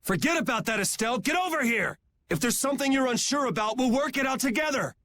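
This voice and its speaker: A man's voice: extremely high pitch, expressive, fast talker, sharp tone, full character voice. An actor playing a blunt, sarcastic young swordsman in a game cutscene. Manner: dry, sarcastic, laid-back. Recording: game audio with music behind.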